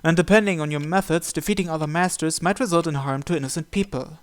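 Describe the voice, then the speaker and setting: A man's voice: fairly high pitch, quick pace. A man hosting a visual novel playthrough, reading the first-person narration aloud.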